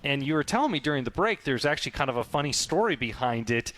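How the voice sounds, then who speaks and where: A man's voice: mid-range voice, very animated, fast talker, fairly bright tone. A man hosting a sports interview, asking long, winding questions in a conversational way. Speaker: radio show host.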